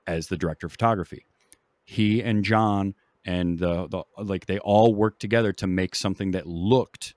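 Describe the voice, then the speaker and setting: A man chatting casually about films as a podcast panelist, thoughtful and measured, building his points from examples. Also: sits low, dry recording. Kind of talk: casual film discussion.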